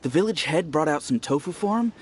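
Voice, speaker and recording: Game NPC: man voicing a lively, gossipy villager, slightly high, with game music behind. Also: fairly quick, a little hiss or hum, natural voice. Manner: storytelling, animated. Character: chatty adult male villager, gossipy. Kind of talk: video game voice acting.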